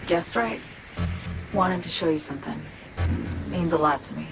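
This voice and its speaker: An intense, secretive female hacker with a slightly low voice. She confides in short, deliberate phrases, earnest yet guarded, in game cutscene style. Music plays clearly behind her. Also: low-quality recording. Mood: guarded, intimate. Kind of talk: cinematic game acting, confiding dialogue.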